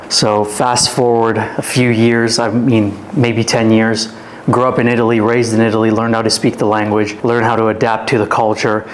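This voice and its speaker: A man's voice: on the low side, a little hiss or hum, low-quality recording. A man in his twenties from Canada, a podcast guest telling his life story in a loose, rambling way full of "like.". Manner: rambling, filler-heavy storytelling with many "like"s. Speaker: guest, fitness influencer. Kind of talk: casual storytelling interview.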